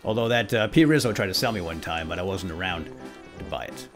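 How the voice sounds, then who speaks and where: Animated man playing and narrating a game. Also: deep voice, fast talker, over music. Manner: playful, exclamatory, talks to himself and viewers. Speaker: gaming video host. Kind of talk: role-play let's play commentary.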